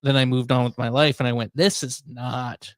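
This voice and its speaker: Male podcast host, mid pitch, wry and rambling conversational delivery, dry room sound. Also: steady tone, moderate pace, no room sound. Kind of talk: casual unscripted podcast chat.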